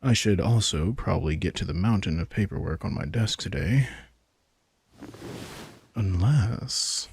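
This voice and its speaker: A man playing a low, husky, smug vampire king. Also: a little mellow, dry recording, exaggerated voice. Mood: casual, scheming. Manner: laid-back, wry, teasing, informal swearing. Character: sardonic, casual young-sounding male vampire king, smug and manipulative. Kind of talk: intimate audio roleplay, addressing the listener.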